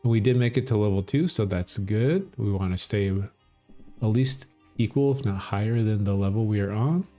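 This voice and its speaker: Low, mellow Minnesota man. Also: normal speed, soft background music. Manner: relaxed, explanatory, chuckles often. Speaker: gaming channel host. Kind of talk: casual gameplay commentary.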